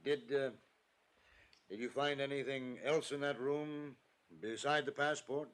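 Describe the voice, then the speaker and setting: A man's voice: mid-range voice, fairly even intonation, unhurried, a bit bright, clear, resonant tone, lightly acted. An actor playing a self-important, evasive businessman in an old-time radio drama, with a blustering manner. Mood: evasive, uneasy.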